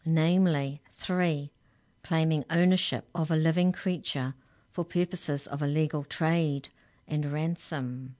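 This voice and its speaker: A woman's voice: sits low, fairly even intonation, unhurried, a little mellow. Storybook lawwoman, played by a female reader: stern, officious, formal, emphatic.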